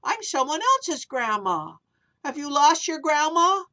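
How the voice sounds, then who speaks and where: A woman puts on a neighbour lady from a storybook. She sounds startled yet brisk and matter-of-fact. The voice is high and sharp. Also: sing-song, full character voice. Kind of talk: children's storytelling character voice.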